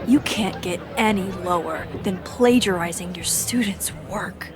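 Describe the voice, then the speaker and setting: A woman's voice: mid-range voice, unhurried, crowd noise, background noise, lightly acted. A woman playing a reflective, indignant young woman giving an inner monologue in a video game. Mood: indignant, resolved.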